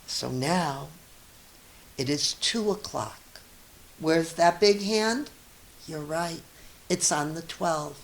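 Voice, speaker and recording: Slow-paced woman reading to children. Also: low voice, low-quality recording. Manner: encouraging, expressive, asks children questions. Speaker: teacher reading aloud to children. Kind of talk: children's story read-aloud with teaching asides.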